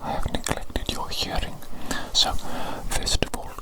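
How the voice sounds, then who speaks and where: A woman whispering softly into a close microphone, repeating each phrase and gently teasing as an ASMR doctor roleplay. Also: measured pace. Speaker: ASMR creator. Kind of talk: ASMR roleplay of a medical exam.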